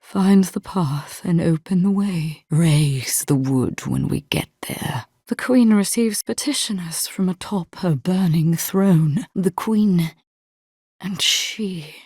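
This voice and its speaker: A woman voicing a stern, haunted imperial captain in a video game, with formal, deliberate wording. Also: on the low side, darkish tone, slightly rough, no room sound, studio quality, exaggerated voice. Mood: feverish, tormented. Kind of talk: scripted game character dialogue.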